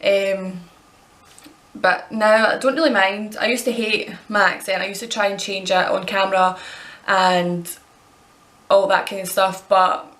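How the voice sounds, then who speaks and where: Young Scottish woman vlogger, early twenties, rambling chatty delivery, mid-pitched voice in an echoey room. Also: fairly even intonation, a little slow, fairly bright tone. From Scotland. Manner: chatty, rambling, self-correcting. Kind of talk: casual chat answering tag questions.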